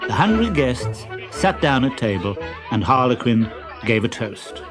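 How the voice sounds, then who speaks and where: A man's voice: mid-range voice, big pitch swings, measured pace, music in the background, crowd noise. A man narrating a children's story expressively, as a storyteller reading from a script.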